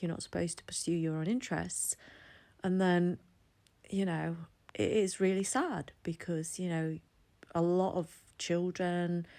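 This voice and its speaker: Low-voiced woman vlogging. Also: darkish tone. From Latvia. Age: 40s. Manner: chatty, candid, laughs between thoughts. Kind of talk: casual personal commentary.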